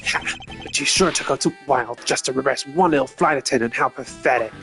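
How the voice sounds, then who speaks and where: A man's voice: mid-range voice, a little fast, pushed and bright, faint music behind. A man voicing a brash, proud, scornful Interpol agent in a video game, with a swaggering, slangy, confrontational manner.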